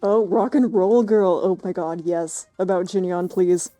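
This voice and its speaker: Very mellow, low-pitched woman streaming. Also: a little slow, no echo, soft background music. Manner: playful, wry asides. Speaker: game streamer. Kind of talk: casual playthrough reactions.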